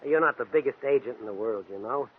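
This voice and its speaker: An actor playing a smooth, coldly controlled, scheming man in a 1940s radio drama. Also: medium pitch, fast, natural voice. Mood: smooth, pressuring. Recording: muffled, tinny vintage 1940s radio recording.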